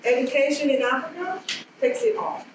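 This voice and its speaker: A woman's voice: sits high, sing-song, moderate pace. A woman from Nigeria who runs an education NGO, speaking earnestly and with emphasis at a conference. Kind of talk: conference self-introduction. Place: conference hall. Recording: echoey hall, distant mic, noisy room.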